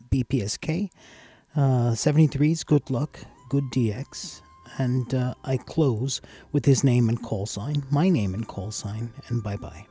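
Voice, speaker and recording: A man with a gravelly, husky voice giving a casual, explanatory tutorial voice-over as the host of a hobby channel. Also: mid-range voice, warm tone. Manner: casual, addresses viewers as guys.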